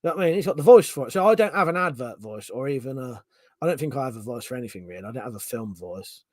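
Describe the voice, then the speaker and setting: Middle-aged male streamer, casual and sweary, animated pitch, dry close mic. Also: rapid-fire, no echo. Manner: chatty, swears casually, restarts sentences. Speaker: streamer reading chat. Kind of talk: casual live-stream chat.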